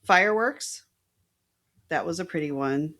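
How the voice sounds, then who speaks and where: Low, slow-talking woman podcast co-host. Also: animated, a bit bright. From Mexico. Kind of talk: casual chat.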